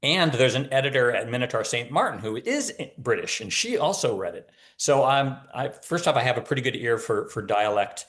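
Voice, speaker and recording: A man's voice: medium pitch, big pitch swings, fairly quick, hardly any pauses, fairly bright tone. A man speaking as an author guest in a casual interview, explaining his craft in a talkative, wry manner.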